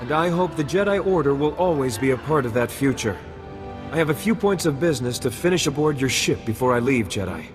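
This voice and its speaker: A man's voice: mid-range voice, lively intonation, brisk, close to an everyday voice. A man as an earnest, dignified young-government statesman. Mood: sincere. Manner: formal, oratorical. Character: earnest, dignified male politician and idealistic new president. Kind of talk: video game dialogue acting. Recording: long hall reverb, music behind.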